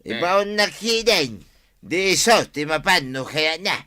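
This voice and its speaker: An actor playing a boisterous, tipsy village husband in an Ilocano comedy radio drama, with an Ilocano accent. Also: male voice, fairly high pitch, very animated, measured pace, bright, forceful tone, heavily acted.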